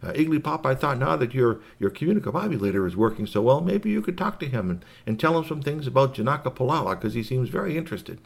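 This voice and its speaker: Man playing a friendly professor, raised pitch. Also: sing-song, quick pace, gravelly, few pauses, slightly rough, full character voice. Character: friendly, considerate male professor, a family host.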